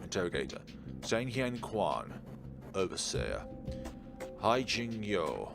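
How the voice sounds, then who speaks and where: A man's voice: deep voice, sing-song, takes it slowly, creaky. A man hosting a game playthrough, reading visual novel text aloud and breaking into offhand asides. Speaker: gaming video host. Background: prominent game music.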